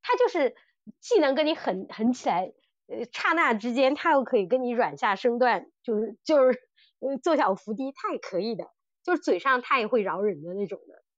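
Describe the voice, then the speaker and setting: A woman co-hosting a casual podcast chat, telling stories in an animated way and often repeating her phrases. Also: fairly high pitch, brisk, no room sound, muffled recording. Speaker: podcast co-host. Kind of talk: casual chat about audio dramas.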